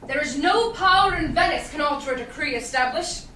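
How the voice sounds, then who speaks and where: Actress as young male lawyer, high voice, measured, declamatory Shakespeare, echoey distant recording. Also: expressive, bright, forceful tone. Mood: grave, measured. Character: composed young male doctor of law, judging firmly. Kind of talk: theatrical staged Shakespeare reading. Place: small theatre stage, indoors. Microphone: far audience camera. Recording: echoey stage, distant camera, faint noise.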